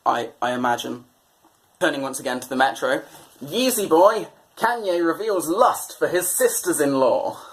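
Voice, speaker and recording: A man's voice: a little high, animated, normal speed, a little hiss or hum. A British man presenting satirical commentary on newspapers in a sardonic, mock-exasperated way. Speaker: comedy presenter.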